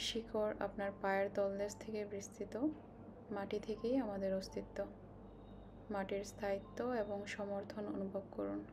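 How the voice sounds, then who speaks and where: Even-toned woman guiding meditation, noisy recording. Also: mid-range voice. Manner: slow, calm, instructional, with long pauses. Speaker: meditation guide. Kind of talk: guided meditation narration. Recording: clearly noisy, ambient sound behind voice.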